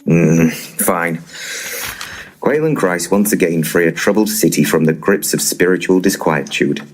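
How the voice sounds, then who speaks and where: A man playing a grumpy, boozy and pompous ghost hunter in a comic audio drama, curt and deadpan. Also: low voice, unhurried, a little hiss or hum.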